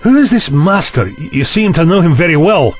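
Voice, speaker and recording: A man's voice: fairly high pitch, very animated, a little fast, soft background music. A man playing a blunt, sceptical chancellor in an audio drama, demanding and indignant. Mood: alarmed.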